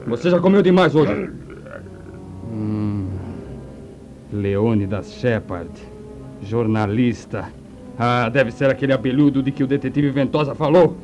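An actor playing an excitable, bossy mad scientist in a comic radio play, given to agitated orders. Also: male voice, medium pitch, unhurried, pauses often, faint music behind, lightly acted. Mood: irritated, puzzled. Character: excitable, irate male mad scientist doctor.

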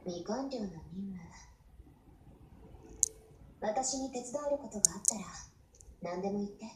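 Casting: actress as devoted young anime game heroine, soft, caring, breathy, comforting her commander; roomy screen playback with faint noise. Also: medium pitch, measured pace, lightly acted. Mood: gentle, caring. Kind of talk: Japanese anime game voice lines.

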